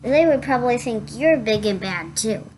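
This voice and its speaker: A woman voicing a chatty, defensive male wolf from a children's storybook, with halting, protesting delivery. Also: a little high, animated, a little mellow, a little hiss or hum, close to an everyday voice. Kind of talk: children's storybook read-aloud in first person.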